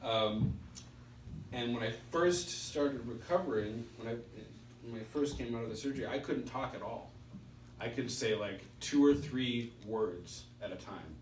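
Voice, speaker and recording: A middle-aged man with a stutter gives a personal testimony at a recovery meeting, speaking in halting, spaced-out phrases with pauses. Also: medium pitch. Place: indoor meeting room. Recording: roomy, distant mic with background hiss.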